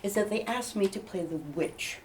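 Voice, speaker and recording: A woman's voice: medium pitch, lively intonation, normal speed, darkish tone, far from the mic, a little hiss or hum, lightly acted, low-quality recording. A woman playing a theatrical, indignant actress typecast as witches and stepmothers, gushing dramatically in a comedy mock interview. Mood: gushing, worked up. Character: theatrical, aggrieved actress who plays witches and evil stepmothers.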